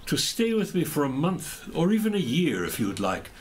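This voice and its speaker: An actor playing a kindly, courteous older knight mentor in an audio drama, giving measured, courtly instruction. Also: male voice, on the high side, wildly swooping pitch, slight background noise. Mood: kindly, welcoming. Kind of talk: audio drama acting of a medieval legend.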